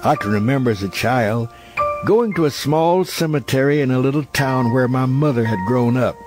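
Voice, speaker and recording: Guy reading a childhood memoir, reflective storyteller type, music going under the voice. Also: mid-range voice. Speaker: audiobook narrator. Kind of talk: scripted memoir narration, storytelling. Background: background music.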